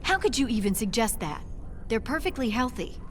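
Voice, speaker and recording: A woman's voice: fairly high pitch, very animated, brisk, music in the background. An actress playing an earnest, somewhat defensive female colony scientist in a game cinematic.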